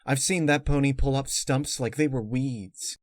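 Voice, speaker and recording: A man's voice: medium pitch, measured pace, dry recording, clean studio sound, pitched above the performer's own voice, natural voice. A man voicing an earnest, bookish young mare, a reassuring friend, in a story reading.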